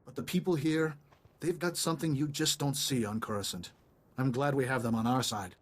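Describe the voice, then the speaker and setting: An eager male diplomat played by an actor with a medium-pitched voice, in a science fiction game. He is courteous and talkative, with an apologetic streak. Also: a little fast, husky, lightly acted. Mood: friendly. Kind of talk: video game voice acting.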